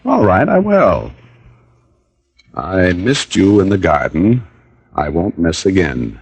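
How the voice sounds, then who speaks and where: An actor playing a cool, wisecracking hard-boiled private detective in an old radio drama, dry and flippant. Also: male voice, low voice, big pitch swings, takes it slowly, breathy edge, exaggerated voice. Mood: cool, wry. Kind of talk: 1940s-50s radio drama acting.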